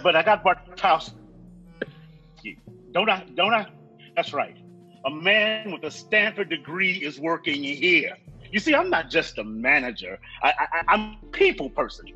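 A blustering, boastful store manager with a forceful, very animated delivery. He is blunt and emphatic, in a comedy read with dull sound. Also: sits high, measured pace, long pauses, faint music behind, heavily acted. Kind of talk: live comedy script read.

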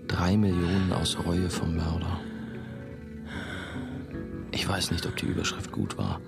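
A brooding young monk's confessional narration in a radio play. The voice is very deep and keeps to a narrow, even line, measured and dryly musing, with music clearly behind it. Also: male voice. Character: brooding, confessional male monastery novice telling his story. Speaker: narrator and main character.

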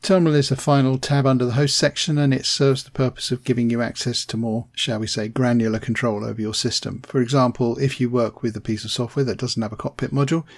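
A man reading a scripted software tutorial voice-over, plain and steady, spelling out commands slowly. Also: mid-range voice. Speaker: tech tutorial narrator.

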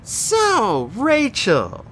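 A sharp teenage girl in a storybook, skeptical and quick to needle others, as a narrator puts her on. Her voice sits well above the reader's own and swings widely. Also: medium pitch, huge pitch swings, slight background noise, voice pushed up, exaggerated voice. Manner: sarcastic, clipped.

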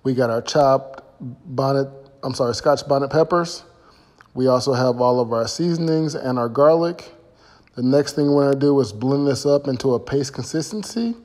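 A man's voice: medium pitch, moderate pace, darkish tone. Middle-aged American man in a reverberant room. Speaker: home cook hosting a cooking vlog. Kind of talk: casual recipe walkthrough to camera. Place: indoor home kitchen. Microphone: phone at arm's length.

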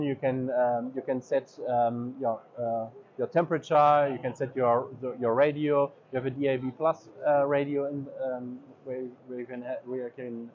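A man in his thirties guides visitors around a big indoor expo booth, explaining machines with lots of ums. He sounds a little dull and muffled on the camera mic. Faint hall noise hums behind him. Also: mid-range voice. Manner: hesitant, many ums and uhs. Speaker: equipment dealer representative. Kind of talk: trade fair booth tour. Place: large indoor exhibition hall.

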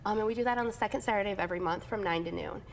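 A woman's voice: medium pitch, racing. A woman in her thirties speaks as an airport manager in a TV studio interview. She talks eagerly and at length, with frequent fillers and restarts. A lapel mic keeps her close, over a faint background hum. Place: TV news studio.

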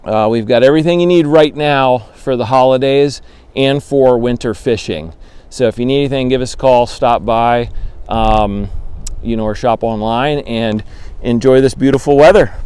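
A man in his thirties giving a casual fishing report from a raft on a windy river, talking in a relaxed, easygoing way with plenty of ums, recorded by a camera a few feet away. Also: mid-range voice, normal speed, clear, resonant tone. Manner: relaxed and conversational, many ums. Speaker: fly shop guide giving a fishing report. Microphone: camera at the bow, a few feet away.

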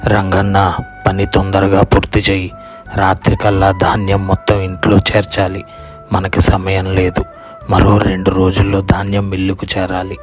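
A man voicing a grasping old village landowner who speaks deliberately and admonishingly in a moral story cartoon. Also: low-pitched, completely flat delivery, faint music behind, lightly acted. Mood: matter-of-fact.

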